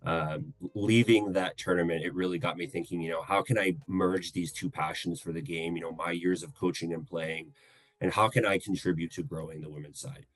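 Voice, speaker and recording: A man in his thirties, guest co-host on a podcast, introducing himself in an earnest, measured way with many hesitations. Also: deep voice, fairly even intonation, dry recording, rough recording. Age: 30s.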